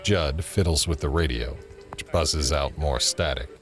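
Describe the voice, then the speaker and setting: Male narrator, scripted audio drama scene directions, extremely low pitch, soft music behind. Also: unhurried. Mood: measured.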